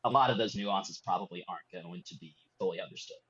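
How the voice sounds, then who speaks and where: A man's voice: sits low, fast, a bit bright, breathy edge, muffled recording. A man on a film podcast, speaking thoughtfully and hedging. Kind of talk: casual podcast discussion.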